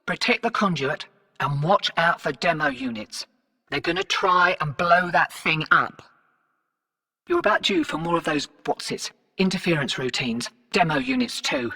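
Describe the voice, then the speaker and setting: Gravelly, brash fixer. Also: female voice, on the low side, a bit bright, in a large hall, far from the mic, heavily acted. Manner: laconic, slangy, cocky drawl. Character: brash, wry, streetwise space-colony woman fixer. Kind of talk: scripted video game character dialogue.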